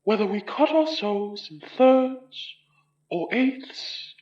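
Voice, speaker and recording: A woman's voice: a little high, lively intonation, slow pace, darkish tone, distant mic. A woman playing an arrogant, mocking aristocratic spirit with a British accent in a horror audio drama, pompous and taunting. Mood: bitter musing. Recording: dull, roomy, off the mic.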